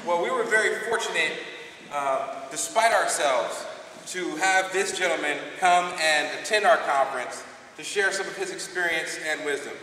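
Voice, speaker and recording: A man organizing a conference, introducing the next speaker in a measured, halting way. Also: on the high side, bright, forceful tone. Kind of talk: formal introduction. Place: large conference hall. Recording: echoey hall from far off, faint hiss.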